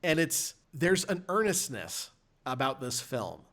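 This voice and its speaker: Man chatting casually about film, halting delivery. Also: on the high side, big pitch swings, fairly bright tone. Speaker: podcast host. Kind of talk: casual film review chat.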